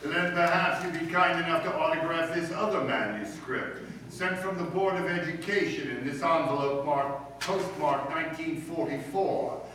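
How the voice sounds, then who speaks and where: An actor playing a pompous, blustering middle-aged school principal in sarcastically formal radio comedy style. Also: male voice, fairly high pitch, moderate pace, few pauses, fairly bright tone, natural voice. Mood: gloating, angry. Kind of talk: old-time radio comedy acting. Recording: echoey room from far off, faint noise.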